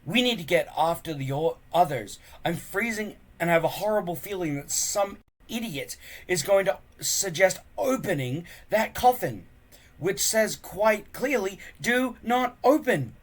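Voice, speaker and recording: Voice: medium pitch, animated, a little slow, pitched above the performer's own voice. A reader voicing an earnest, eager, hapless boy hero in a children's storybook, with a raised voice. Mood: anxious, reasoning.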